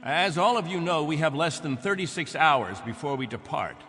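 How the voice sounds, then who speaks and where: An actor playing a stern, proud, controlling captain of stranded settlers in a radio drama, with a commanding, insistent manner. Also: male voice, a little high, lively intonation, moderate pace, bright, forceful tone. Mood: businesslike. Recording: radio drama with music underneath.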